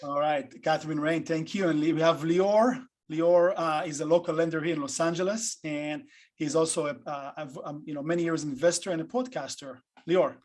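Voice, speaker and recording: Man, somewhat high pitch, online panel host, informal, halting delivery, unscripted. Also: fairly even intonation, darkish tone. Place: online video call.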